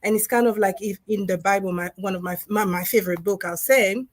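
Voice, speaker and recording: A woman from Congo who grew up speaking French tells her story in a relaxed livestream chat. She thinks aloud, pausing on fillers. Her voice sits at a middle pitch, and the sound is dry and close. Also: no room sound. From Democratic Republic of Congo. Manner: reflective, storytelling with frequent fillers and laughs. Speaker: dentist and co-author guest. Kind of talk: casual livestream conversation.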